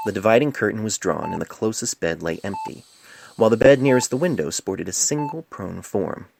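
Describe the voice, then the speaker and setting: A man reading a scripted story as an amateur narrator, measured and literary, recorded on a cell phone microphone. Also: low voice, gravelly, noisy background.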